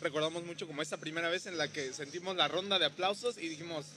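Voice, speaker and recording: A man's voice: fairly high pitch, steady tone, fast talker, sharp tone, slight background noise. A man from Veracruz with a Mexican accent, hosting a casual podcast interview in an easygoing way and often jumping in with short replies. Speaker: podcast host, stand-up comedian.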